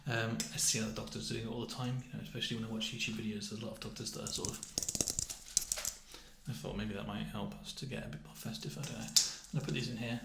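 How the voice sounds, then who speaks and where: A man doing a soft-spoken ASMR roleplay, slipping into whispers, with an awkward, apologetic, deadpan manner. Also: on the low side, fast talker, vocal fry, roomy sound, background noise. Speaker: ASMR roleplay performer, playing a festivity assessor. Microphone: binaural ASMR microphone up close.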